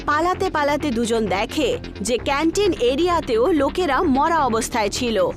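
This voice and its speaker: A woman's voice: high voice, expressive, moderate pace. A woman giving scripted narration of a horror story. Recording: background music under the voice.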